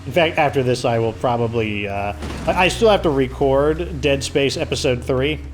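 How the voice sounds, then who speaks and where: A man with a hoarse, worn voice talks through his game in loose, rambling commentary full of fillers. His pitch sits in a middle range, and clear game noise runs behind him. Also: moderate pace. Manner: rambling, filler-heavy explaining. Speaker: let's play host. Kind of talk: casual gameplay commentary.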